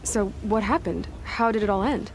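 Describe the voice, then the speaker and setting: A woman's voice: medium pitch, normal speed, a little hiss or hum, close to an everyday voice, rough recording. An actress playing a determined, curious young female hunter in a game cutscene, asking direct questions. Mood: serious. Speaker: game protagonist. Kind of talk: video game cutscene dialogue.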